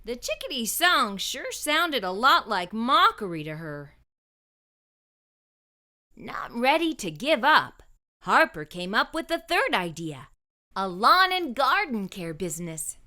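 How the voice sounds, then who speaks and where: Woman narrator, children's read-aloud, high voice, bright tone, very expressive. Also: a little slow. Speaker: children's book reader.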